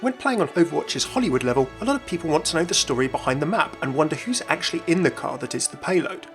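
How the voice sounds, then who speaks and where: A man's voice: a little high, animated, fast, fairly bright tone. A man reading scripted narration as the presenter of a gaming video. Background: background music.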